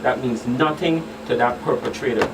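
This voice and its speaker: A man's voice: mid-range voice, normal speed. A man speaking as a guest expert in a radio talk show discussion, emphatic and repeating phrases for stress. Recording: distant mics, loud air-conditioning hum.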